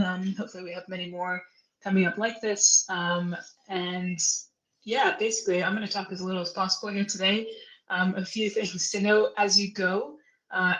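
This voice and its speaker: A woman's voice: medium pitch, reverberant, poor audio. A woman hosting an online session in a casual, hesitant, chatty way, giving an informal introduction over a video call. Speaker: webinar host.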